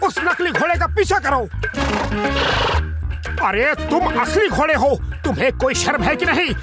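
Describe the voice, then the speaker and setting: A bossy excitable cartoon hero, high swoopy voice, comic and scoldy, music loud behind him. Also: male voice, unhurried, heavily acted. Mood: indignant, urging. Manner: exclamatory, animated scolding and urging. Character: excitable, bossy, food-loving cartoon buddy hero, adult male. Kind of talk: exaggerated comic cartoon acting.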